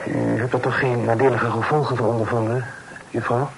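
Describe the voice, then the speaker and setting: Actor as a courteous young gentleman, medium pitch. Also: little pitch movement, brisk, vocal fry, lightly acted. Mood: polite, hesitant. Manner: polite, formal, slightly hesitant. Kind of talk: Dutch radio play acting, period drama. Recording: noisy old radio play recording.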